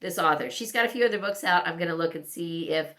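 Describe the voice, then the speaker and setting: A woman with a slightly low voice gives a casual vlog-style review. She talks conversationally, as if to a friend, and often thinks aloud in halting asides. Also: pushed and bright. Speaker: book vlogger reviewing recent reads. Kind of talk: casual chat to camera.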